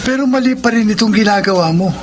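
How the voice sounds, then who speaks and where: A man's voice: high-pitched, quick pace, background noise, lightly acted. A man playing a concerned, chiding young Filipino friend in a Tagalog radio drama. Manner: scolding, concerned.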